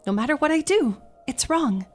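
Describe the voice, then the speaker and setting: A woman's voice: high-pitched, expressive, normal speed. An actress playing an eager, ingratiating and plucky shop girl in a radio play. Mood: upset, pleading. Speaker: actor. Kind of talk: radio theatre acting.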